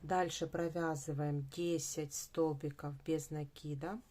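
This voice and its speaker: A woman's voice: sits low, little pitch movement, a little slow, warm tone. A woman hosting a craft tutorial, explaining each step in a methodical way. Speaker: crochet tutorial host.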